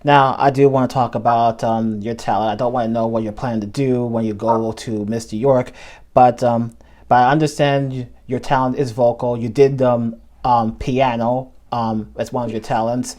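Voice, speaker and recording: A man hosting an interview show, asking questions haltingly and repeating words. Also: mid-range voice, little pitch movement, darkish tone. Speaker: show host.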